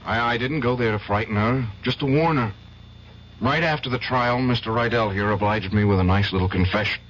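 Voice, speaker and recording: An actor playing an earnest young lawyer, hesitant but firm, in a 1970s radio drama. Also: male voice, medium pitch, a little fast, fairly bright tone, slight background noise, lightly acted. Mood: uneasy. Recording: dull old radio recording.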